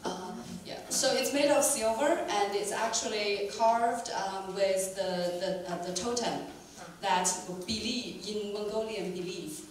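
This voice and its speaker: A woman's voice: medium pitch, measured pace, a crowd in the background. A woman moderating and interpreting at a live talk, hesitant with many ums, in a lecture room. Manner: hesitant, lots of ums while translating. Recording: echoey room, distant mic.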